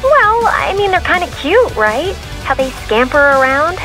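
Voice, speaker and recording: An actress playing a soft-hearted, playfully stubborn young support operator in a video game, chirpy and casual. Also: female voice, sits high, sing-song, a little slow, poor audio. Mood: sympathetic, playful. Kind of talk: video game radio dialogue acting. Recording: game audio with clear music.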